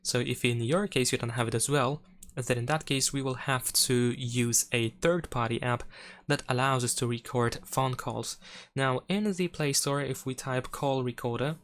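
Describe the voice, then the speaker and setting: A man's voice: medium pitch, fairly bright tone. A man presenting a step-by-step phone tutorial in an instructional, explanatory way.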